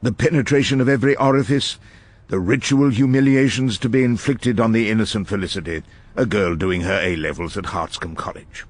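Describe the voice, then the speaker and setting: An actor playing an irascible, wry elderly English criminal barrister, narrating a radio drama in husky, literary, ornate phrases. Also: male voice, on the low side, fairly quick, lightly acted. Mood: wry, world-weary.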